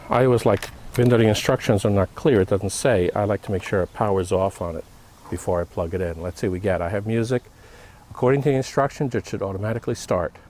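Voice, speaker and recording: A man's voice: fairly low pitch, darkish tone, a little hiss or hum. A man giving a casual hands-on product demonstration outdoors, thinking aloud in short step-by-step remarks. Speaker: product reviewer. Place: outdoor backyard.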